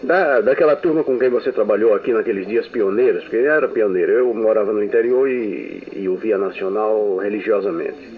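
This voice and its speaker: A man's voice: on the low side, fairly quick, few pauses. A man interviewing on the radio, asking courteous, searching questions and repeating words as he gets them out. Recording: tinny archive recording with faint hiss.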